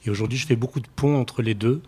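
Mid-pitched man explaining his work. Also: quick pace, mellow, slightly rough. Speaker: lawyer and writer, panel guest. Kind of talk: explanatory panel talk about his own work.

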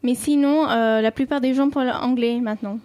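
A woman's voice: a little high, steady tone, brisk, dark tone, no echo. A nineteen-year-old woman from India whose first language is Sindhi, answering interview questions hesitantly with many fillers. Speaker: guest.

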